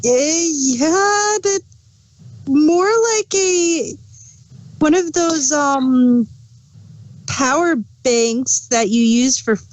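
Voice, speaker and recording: A woman's voice: sits high, extremely slow, long pauses, slight background noise, poor audio. A woman chatting casually as a podcast co-host. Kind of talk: casual chat.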